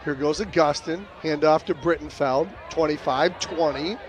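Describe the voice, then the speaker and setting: A man doing play-by-play on a high school football broadcast, mixing live calls with casual, jovial banter. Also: normal speed, a faint crowd, rough recording. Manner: jovial, jokey, excitable on big plays. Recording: clear outdoor stadium ambience noise. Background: outdoor game ambience.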